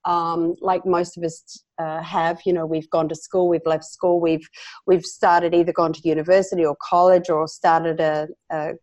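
An Australian woman in her fifties with a lowish voice, recorded close and dry. She talks in a chatty, searching way, full of ums and restarts, as a podcast guest. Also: level tone, moderate pace, a little mellow, no echo. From South Australia. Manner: chatty, many fillers and restarts, laughs. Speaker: guest, founder and media commentator. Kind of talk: casual interview talk.

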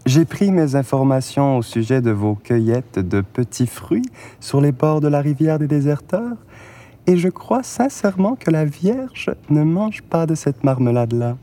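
An actor playing a stern parish priest who speaks in a solemn, preaching manner. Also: male voice, a little high, expressive, measured pace, dark tone. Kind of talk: film acting.

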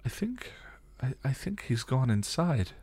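A gentle, hesitant young witch from a story reading, as a man puts her on. She stammers through her words at a raised pitch with moving intonation. The sound is dry. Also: lively intonation, unhurried, no room sound, natural voice. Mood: subdued.

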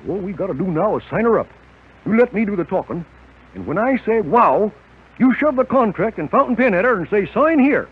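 High-voiced scheming cartoon promoter. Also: male voice, sing-song, fairly quick, husky, heavily acted. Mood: plotting. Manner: brash, wheedling salesman patter. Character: fast-talking, scheming Hollywood promoter in a cartoon world. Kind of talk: old-time radio comedy acting. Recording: muffled, noisy old radio recording.

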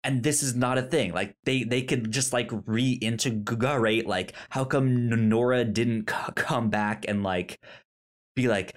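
A mid-pitched voice that talks slowly and haltingly, working through thoughts with many fillers and restarts as a podcast co-host. The delivery is relaxed and musing. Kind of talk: casual chat reviewing a TV show.

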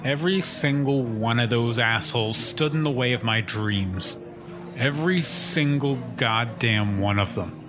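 A man's voice: mid-range voice, unhurried, over music, close to an everyday voice. A man playing a vain, bitter anchorman with a pompous, sarcastic manner in an audio comedy drama. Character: vain, bitter, disgraced fake news anchorman.

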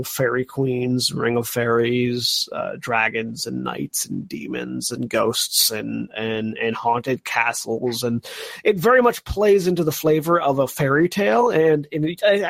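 A man hosting a casual board game review podcast, speaking haltingly and often repeating his words as he goes. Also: medium pitch, vocal fry, fairly bright tone, no echo. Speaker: podcast host.

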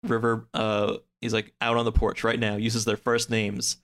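A man's voice: fairly low pitch, monotone, normal speed, a bit bright. A man in a casual chat, retelling a story in a rambling way peppered with "like" and acting out the characters' lines. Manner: acts out characters' lines in quotes. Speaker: podcast guest.

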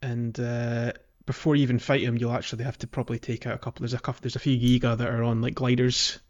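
A man's voice: mid-range voice, little pitch movement, fast talker, dull audio. A man co-hosting a casual podcast about a video game, talking in a loose, chatty way with many fillers and restarts. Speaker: podcast co-host.

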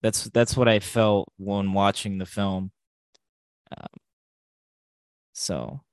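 A man's voice: fairly low pitch, steady tone, takes it slowly, dry recording, studio quality. A man co-hosting a podcast in casual discussion, thinking aloud and often hesitating or correcting himself. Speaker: podcast co-host.

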